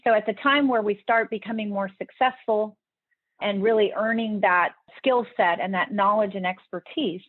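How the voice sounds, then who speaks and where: A woman's voice: mid-range voice, dry recording. A woman answering interview questions in an earnest, explanatory way, often restarting her sentences. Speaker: podcast guest, leadership speaker. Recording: tinny phone-like remote line, dry.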